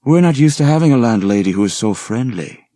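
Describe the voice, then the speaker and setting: A charming male professor in an audiobook, acted out by the narrator, a man. He speaks in a suave, courtly, mock-formal way, lifted above a gravelly natural register, recorded dry. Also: medium pitch, animated, brisk, mellow, no room sound, voice pushed up, natural voice. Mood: suave, flirtatious.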